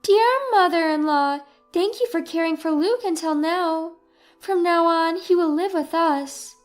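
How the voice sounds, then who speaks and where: A woman's voice: extremely high pitch, measured pace, soft background music, pitched above the performer's own voice. A woman voicing a brazen, self-serving daughter-in-law with sugary cheer, in storybook dialogue.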